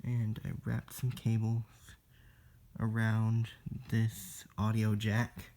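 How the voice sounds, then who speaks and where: Casual hobby demo presenter: unhurried and gravelly, delivering instructions in halting pieces. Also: mid-range voice, completely flat delivery, dark tone. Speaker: demonstrator in a homemade electronics video. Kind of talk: casual hands-on product demo.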